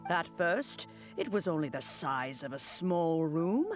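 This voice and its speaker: An actor voicing a smooth, courteous gentleman mediator in a game cutscene. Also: a little high, big pitch swings, unhurried, faint music behind. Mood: persuasive. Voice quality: suave, courteous.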